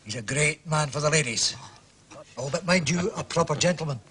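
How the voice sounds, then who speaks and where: A chatty local working man played by a male actor. He is deferential and gossipy, in a high voice, as in a radio play. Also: moderate pace, a bit bright, natural voice. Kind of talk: radio drama acting.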